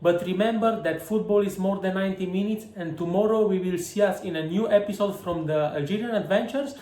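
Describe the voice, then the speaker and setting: A man narrating a football travel vlog in halting English full of fillers. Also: high-pitched, warm tone, low-quality recording. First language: Romanian. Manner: halting non-native English with many fillers.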